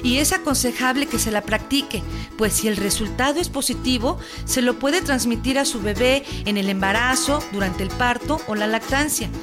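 A woman's voice: on the high side, normal speed, hardly any pauses, close to an everyday voice. A woman with a Mexican accent playing a courteous, formal health helpline operator in a scripted radio spot. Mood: polite, informative. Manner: formal, explanatory, polite. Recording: music bed behind the voice.

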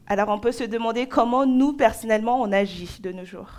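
A young woman from Nigeria, preaching and giving a personal testimony in short phrases with pauses for an interpreter. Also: on the high side, darkish tone, slight background noise. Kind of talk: sermon in French.

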